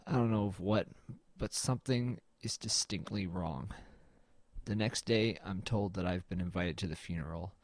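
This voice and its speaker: A man's voice: low voice, moderate pace, dry recording. A man playing a brooding, melancholy artist who narrates an audio drama in a reflective, understated, slightly hesitant way. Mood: brooding, uneasy.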